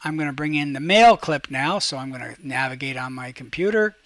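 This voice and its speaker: A man giving an informal, step-by-step software tutorial as a teacher, speaking close to the mic. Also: medium pitch, expressive, a little fast, no echo. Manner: informal, step-by-step, checking in with "okay". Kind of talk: screen-recorded software tutorial, instructional.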